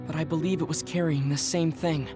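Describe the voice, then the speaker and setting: A man's voice: a little high, fairly even intonation, a little fast, over music, lightly acted. An actor playing a serious, dutiful male soldier in a video game cutscene. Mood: grave.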